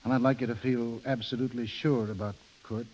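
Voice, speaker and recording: A man acting as a middle-aged American husband in a 1930s radio play, with a mid-range voice. He talks plainly and haltingly, weary yet dogged. The vintage recording sounds dull. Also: mid-range voice, steady tone, darkish tone, natural voice. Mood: resigned.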